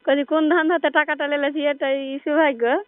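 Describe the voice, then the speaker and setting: A woman's voice: high-pitched, flat delivery, fairly quick, no room sound. A woman chatting casually and flirtatiously on a phone call in a rural Bengali dialect. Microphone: telephone. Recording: muffled narrow-band phone call.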